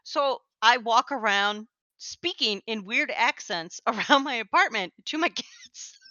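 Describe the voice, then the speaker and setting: A woman's voice: a little high, expressive, a little slow, bright, forceful tone, no echo, dull audio. A woman with an Upper Midwest accent, chatting casually on a podcast, self-deprecating and laughing often. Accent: Upper Midwest American. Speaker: podcast co-host. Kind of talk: casual chat.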